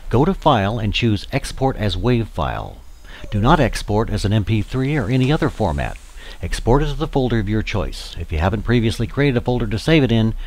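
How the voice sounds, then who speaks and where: Low-pitched man narrating a tutorial. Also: a little mellow. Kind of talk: scripted instructional narration.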